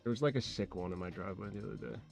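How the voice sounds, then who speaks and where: Low, very gravelly male streamer. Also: rapid-fire. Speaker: streamer drawing live. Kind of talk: casual chat, thinking aloud while drawing. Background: music.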